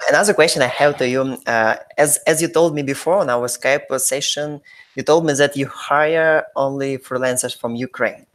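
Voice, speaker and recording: A man's voice: mid-range voice, fairly even intonation, moderate pace, fairly bright tone. A man with a Russian accent hosting a live-streamed interview, friendly and searching for words as he repeats his questions. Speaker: host, freelancer interviewing his client. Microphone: video call.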